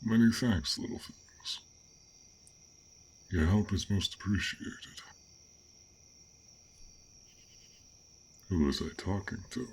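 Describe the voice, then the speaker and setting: A man playing a gentle, curious dragon in a roleplay audio drama, with formal, old-fashioned, pensive speech. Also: deep voice, measured pace, gravelly, a little hiss or hum. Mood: gentle, polite.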